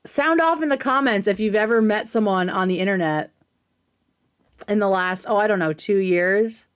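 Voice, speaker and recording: A woman's voice: mid-range voice, normal speed, clear, resonant tone. A woman hosting a casual livestream commentary, speaking in a sarcastic, emphatic way with plenty of slang. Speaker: livestream host.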